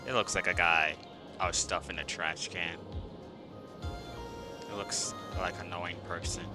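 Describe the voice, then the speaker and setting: Low-voiced man commentating over game music. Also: steady tone, measured pace. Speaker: game livestreamer. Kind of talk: casual gameplay commentary.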